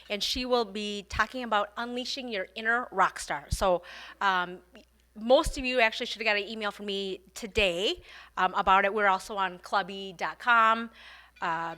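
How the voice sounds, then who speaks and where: A woman's voice: mid-range voice, pushed and bright. A woman hosting a business club meeting, giving announcements and introducing the speaker in a friendly way, with many fillers. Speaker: event host.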